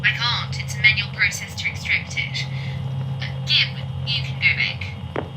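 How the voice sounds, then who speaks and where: A woman's voice: medium pitch, steady tone, unhurried, noisy background, natural voice. A woman playing a tough, sweary astronaut drill operator in a naturalistic science fiction audio drama. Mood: dry, focused.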